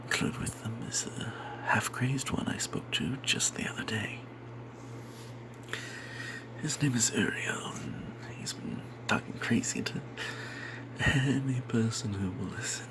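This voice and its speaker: A man narrating a fantasy role-playing tale in first person as ASMR, in a breathy, secretive whisper, rambling wryly as if not to be overheard. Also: lowish voice, measured pace, poor audio. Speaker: storyteller narrating a tabletop game tale.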